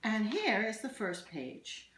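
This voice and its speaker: A woman reading a children's picture book aloud, telling the story deliberately and expressively with pauses. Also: medium pitch, huge pitch swings, noisy background. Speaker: storybook reader, teacher. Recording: echoey room.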